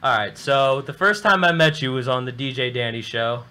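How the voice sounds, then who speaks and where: A man's voice: mid-range voice, animated, moderate pace, sharp tone, clear, resonant tone. A man hosting a casual radio talk show, rambling loosely and swearing often. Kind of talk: casual chat.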